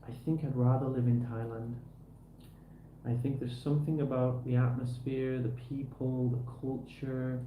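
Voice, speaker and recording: A man's voice: mid-range voice, narrow, tinny audio. An easygoing man chatting casually to livestream viewers and to himself as he does hands-on work, in a tutorial style. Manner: easygoing, talks to himself and viewers while working. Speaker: livestreamer fixing a guitar. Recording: roomy echo, faint background noise.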